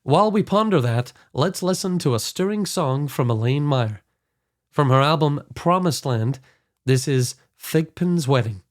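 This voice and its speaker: A man, slightly hoarse, hosting a podcast and reading a scripted introduction with earnest enthusiasm. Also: a little high, lively intonation, measured pace. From Scotland. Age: 40s. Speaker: podcast host.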